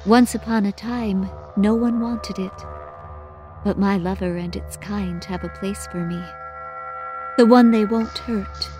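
Slow, intense woman acting a lovelorn scientist, music behind. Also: medium pitch, mellow, natural voice. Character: lovelorn, obsessive female scientist devoted to a monstrous lover. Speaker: storyteller. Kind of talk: dramatic first-person horror audiobook narration. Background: musical score.

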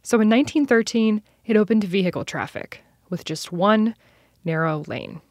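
A woman's voice: medium pitch, normal speed, a little mellow, no echo, clean studio sound. A woman reporter reading scripted narration for a radio feature.

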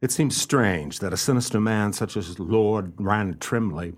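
A man playing a courtly, self-satisfied gentleman detective in a radio play, speaking in a formal, pompous, theatrical way. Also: lowish voice, measured pace. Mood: polite, curious. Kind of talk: radio play acting with first-person narration.

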